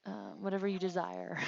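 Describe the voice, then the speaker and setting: A woman's voice: medium pitch, gravelly, mellow. A woman casually explaining technical work as a researcher on a phone call. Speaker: researcher explaining mapping metrics. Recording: slightly dull, lo-fi phone call recording.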